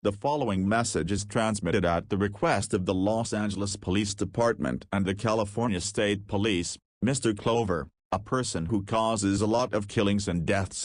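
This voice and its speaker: A man's voice: lowish voice, no echo, studio quality. A man reading a scripted emergency broadcast message in a formal, impersonal way. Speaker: emergency alert announcer.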